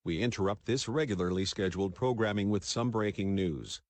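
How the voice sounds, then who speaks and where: A man's voice: lowish voice, lively intonation, a little fast, no echo. A man playing a formal television station announcer reading a scripted programming interruption.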